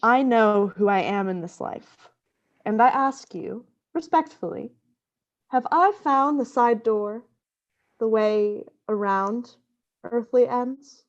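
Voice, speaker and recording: An obsessive professor who dabbles in dark arts, in a radio drama. Her voice is fairly high, her diction formal and earnest, on a slightly dull recording. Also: slow pace. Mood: earnest, fervent. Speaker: voice actor. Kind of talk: radio play acting.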